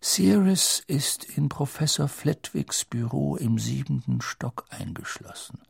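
A man reading an audiobook, voicing an old, wise wizard headmaster who speaks in a measured, grave and sometimes hushed way. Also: expressive, creaky, breathy edge, dry recording, studio quality, heavily acted. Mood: hushed urgent. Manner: measured, low, at times hushed.

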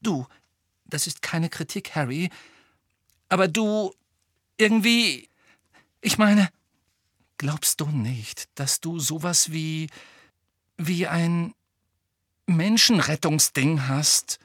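A man's voice: on the high side, moderate pace, a bit bright, no room sound, studio quality, pitched above the performer's own voice. A man voicing an anxious but determined teenage witch girl in an audiobook, pleading and insistent as he reasons. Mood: nervous, careful. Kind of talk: audiobook character acting.

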